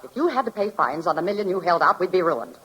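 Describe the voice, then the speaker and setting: A woman's voice: on the low side, big pitch swings, fast, a bit bright, poor audio. A woman playing a ruthless, scheming wife in an urgent 1940s radio melodrama style. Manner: urgent, pressing. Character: ruthless, scheming wife Paula, fearful of disgrace.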